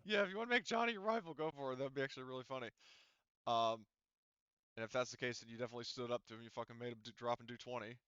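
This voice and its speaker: A man's voice: mid-range voice, very fast, sharp tone, smooth, dry recording, dull audio. A man chatting casually as game master of a tabletop roleplaying game, teasing and swearing freely.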